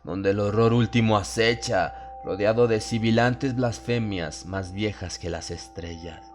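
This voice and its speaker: A man's voice: fairly low pitch, measured pace, over loud music. A man narrating a horror audiobook in a solemn, dramatic style.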